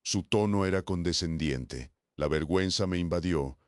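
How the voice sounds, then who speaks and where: A man reading a first-person horror story as scripted narration, with slow, dramatic pauses. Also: sits low, no room sound, clean studio sound.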